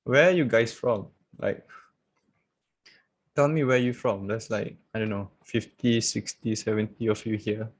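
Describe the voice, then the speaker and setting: A man teaching trading on a live video call, chatty and relaxed, laughing and talking straight to his viewers. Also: fairly low pitch, a little slow. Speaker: trading coach. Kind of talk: casual live-stream trading lesson.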